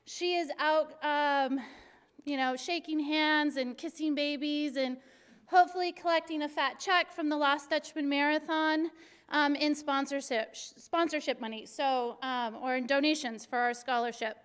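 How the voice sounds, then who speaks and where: A middle-aged woman from Arizona, a city recreation superintendent with a very high-pitched voice, giving an energetic, joking presentation to a class. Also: moderate pace. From Arizona, USA. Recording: long hall reverb.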